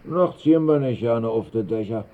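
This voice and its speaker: An actor playing a dry, laconic vagabond companion with a deadpan delivery in a radio drama. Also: male voice, medium pitch, normal speed, lightly acted. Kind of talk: 1960s German radio drama acting. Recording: muffled band-limited old radio recording.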